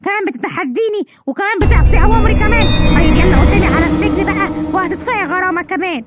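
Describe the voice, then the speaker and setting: Woman voicing a stern Egyptian cartoon cop, very high, very fast. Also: loud music behind the voice. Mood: angry. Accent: Egyptian Arabic. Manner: bossy, scolding. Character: stern adult male beach police officer.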